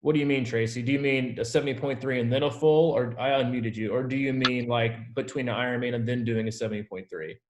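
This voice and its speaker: A man's voice: medium pitch, fairly even intonation, fast talker, few pauses. A man working as a triathlon coach, informally and reassuringly answering team questions on a video call.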